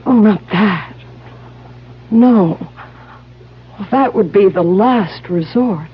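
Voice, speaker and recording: A woman's voice: medium pitch, slow, noisy background, natural voice. An actress playing a romantic, anxious woman confiding in her lover in a 1970s radio mystery drama. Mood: anxious, pleading. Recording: muffled, humming old radio broadcast recording.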